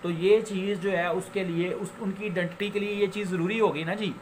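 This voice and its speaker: A man with a Pakistani accent and a slightly high voice teaches in an informal, explanatory lecture style. He mixes in English legal terms and slows down haltingly when reading them out. There is some background noise on the recording. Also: steady tone, poor audio. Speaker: law lecturer and advocate.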